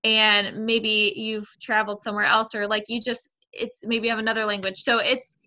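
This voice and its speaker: Bright, chatty woman. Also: on the high side, flat delivery, normal speed, rough recording. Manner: chatty, with many fillers. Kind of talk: casual conversation.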